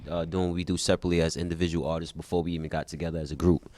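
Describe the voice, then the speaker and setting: A deep-voiced man talks fast as a guest rapper, laid back and full of fillers. The voices of other people chatter clearly behind him. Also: flat delivery. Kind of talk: casual interview chat.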